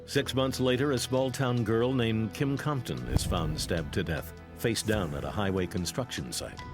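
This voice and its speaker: A man's voice: on the low side, moderate pace, music in the background. A man with an American accent reading a scripted true-crime documentary narration.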